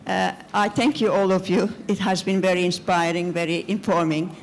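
An older woman with a Turkish accent, speaking haltingly from the audience of a lecture theatre into a handheld microphone. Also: lowish voice, fairly even intonation. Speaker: audience member asking at conference. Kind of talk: halting spontaneous speech to a panel. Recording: clearly noisy lecture hall.

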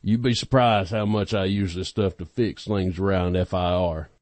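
Heavy noise and clicks surround a man acting a crude, surly troublemaker. His voice sits fairly low, gruff and dismissive, the stubborn sidekick of a comedy audio drama. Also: fairly even intonation, moderate pace, lightly acted. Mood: cocky. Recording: very noisy, with clicks.

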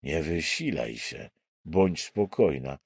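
Audiobook thriller villain, male narrator acting: self-styled saviour and torturer, deep, hushed, unhurried, soothing menace, dry sound. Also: lively intonation, vocal fry, breathy edge, no room sound, exaggerated voice. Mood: soothing, menacing.

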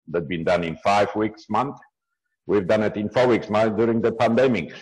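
A man in his fifties from Poland, speaking English with a Polish accent as an interview guest on a video call, with halting, non-native phrasing and frequent restarts. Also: on the low side, a little mellow, low-quality recording. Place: small indoor room at home. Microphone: earbud headset on a video call.

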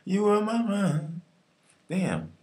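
A man's voice: high voice, big pitch swings, slow pace, darkish tone. A young adult man casually singing in an amateur way and bantering between takes.

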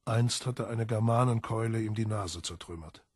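A male audiobook narrator with a deep, very husky voice. He reads literary prose in a solemn, measured way with dramatic emphasis. The recording is dry, with no room sound. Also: no room sound.

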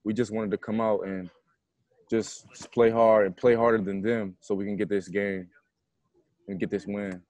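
A man's voice: on the low side, little pitch movement, normal speed, darkish tone, no room sound. A young man, a college basketball player, giving informal, plain-spoken answers at a post-game press conference. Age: young adult. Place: indoor basketball arena, courtside. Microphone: earphone headset on a video call.

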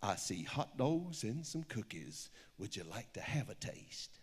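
A man's voice: on the low side, sing-song, a little slow, slightly rough, voice pushed down, full character voice. A man voicing a friendly, polite, puzzled bear in a rhyming children's storybook, exclaiming in singsong, in an echoey hall. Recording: echoey hall with long reverb.

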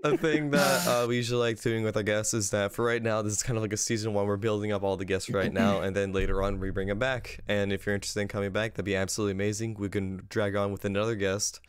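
A man's voice: on the low side, flat delivery, quick pace, few pauses, clean tone. A man of twenty-three chatting casually as a podcast co-host, close on the mic. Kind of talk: casual chat. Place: room at home, indoors. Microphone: close desk mic on boom arm.

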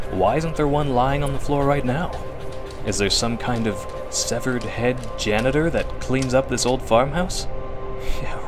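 A man narrating a scripted horror story in a dramatic, suspenseful radio drama manner. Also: medium pitch. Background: music.

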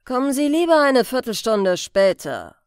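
A woman voicing a sly, sardonic male police chief inspector in an audiobook, speaking in a deliberate, thoughtful manner. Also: a little high, very animated, smooth, no room sound, clean studio sound. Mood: venomous.

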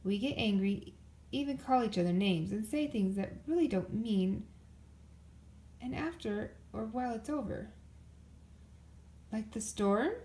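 A woman reading a children's picture book aloud as an expressive storyteller. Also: on the high side, moderate pace. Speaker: storybook reader.

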